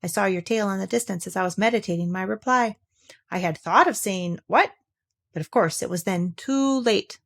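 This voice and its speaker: A woman's voice: mid-range voice, moderate pace, vocal fry. A woman voicing a gloomy, self-pitying old male donkey from a children's storybook, in a ponderous, complaining way. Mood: aggrieved. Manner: ponderous, complaining, formal wording. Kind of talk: children's storybook read aloud.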